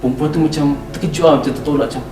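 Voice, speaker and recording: A man's voice: fairly high pitch, steady tone, very fast, darkish tone. Young Malaysian man storytelling in echoey room. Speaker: podcast guest telling a ghost story. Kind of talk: casual spoken storytelling. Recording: roomy, distant mic, music underneath. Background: music.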